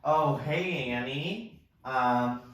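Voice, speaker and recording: A man's voice: medium pitch, drawn-out words, fairly bright tone. A man reading the part of a kind, injured young male patient at an amateur musical table read. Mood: hesitant, friendly. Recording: distant mic in echoey room.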